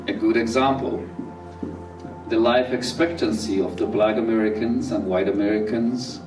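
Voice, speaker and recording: A man from Somalia giving a public talk in a slow, halting way, with many fillers and restarts. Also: medium pitch, far from the mic. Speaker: speaker at a community talk. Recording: roomy, off-mic, faint music behind.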